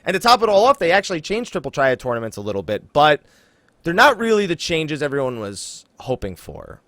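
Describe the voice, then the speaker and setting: Animated man hosting a gaming podcast. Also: fairly high pitch, fairly quick, dry recording, studio quality. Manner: animated, teasing banter with co-host. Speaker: podcast host. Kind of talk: casual gaming podcast chat.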